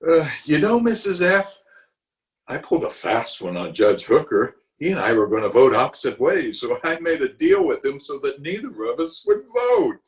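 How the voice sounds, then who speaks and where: A man's voice: a little high, wildly swooping pitch. A man playing a blustery, genial middle-aged small-town uncle in a pompous, theatrical 1940s radio comedy style. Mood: smug. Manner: pompous, theatrical, with drawn-out hesitations and chuckles. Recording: music behind the voice, remote home recording.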